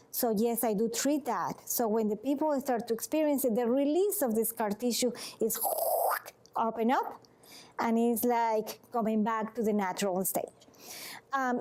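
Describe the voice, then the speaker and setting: A woman from Venezuela with a Venezuelan Spanish accent, a massage therapist giving an earnest, informal health talk to a group. She speaks in halting, restarting phrases and often checks in with "okay?". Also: on the high side, a little mellow.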